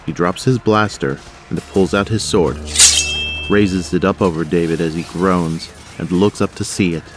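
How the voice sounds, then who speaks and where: A man's voice: deep voice, normal speed, over loud music. A man narrating a scripted audio drama.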